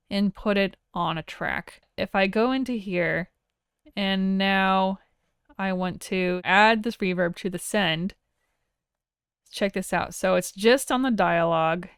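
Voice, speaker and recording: Female tutorial instructor, informal and wry, crisp resonant tone, dry close recording. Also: medium pitch, dry recording. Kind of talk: casual software tutorial narration.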